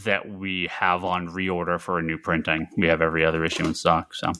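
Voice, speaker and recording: A man's voice: sits low, completely flat delivery, a little fast, pushed and bright. A man talking casually on a comic book discussion podcast, explaining things informally as a retailer on the panel.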